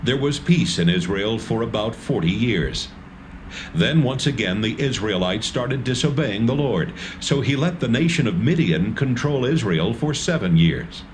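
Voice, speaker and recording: A man narrating scripture in a steady, plain manner. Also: medium pitch, measured pace, slight background noise, rough recording.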